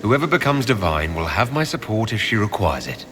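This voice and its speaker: An actor playing a composed, authoritative male leader in a fantasy game, speaking formally, with deliberate assurance. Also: fairly low pitch, very animated, a bit bright, slight background noise. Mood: assured.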